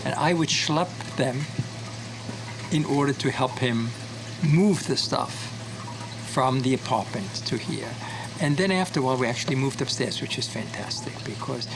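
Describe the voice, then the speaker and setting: Older man, fond reminiscing, documentary walk-through, street traffic loud behind. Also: mid-range voice, vocal fry. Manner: reminiscing, friendly, chatty with strangers. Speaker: scientist revisiting his childhood home.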